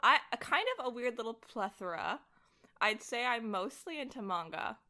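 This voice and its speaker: A woman chatting casually as a podcast guest, with an animated, giggly and self-deprecating manner. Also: on the high side, moderate pace. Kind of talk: casual chat.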